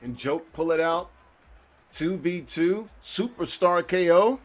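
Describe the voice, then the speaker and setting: A middle-aged man doing play-by-play commentary on a football video game tournament, his voice jumping up with excitement on big plays. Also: on the high side, slow pace. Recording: faint background noise.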